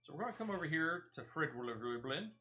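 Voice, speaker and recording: A man's voice: a little high, big pitch swings, fast, sharp tone. A man giving casual, chatty gameplay commentary, thinking aloud with a playful, mildly self-deprecating touch. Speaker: gaming streamer.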